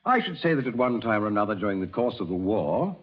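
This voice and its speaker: On a muffled vintage radio recording, a low, husky court examiner. He is formal and clipped, with strongly swinging intonation as he questions. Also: big pitch swings, quick pace. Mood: stern, probing. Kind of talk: 1940s radio acting. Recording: dull, muffled old radio broadcast.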